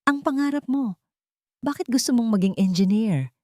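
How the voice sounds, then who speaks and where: A woman's voice: fairly high pitch, animated, moderate pace, darkish tone, no room sound, clean studio sound, voice pushed up, close to an everyday voice. A woman voicing a guarded, wealthy older woman in an audio romance, restrained yet used to giving orders. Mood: soft, curious. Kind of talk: audio storybook dialogue.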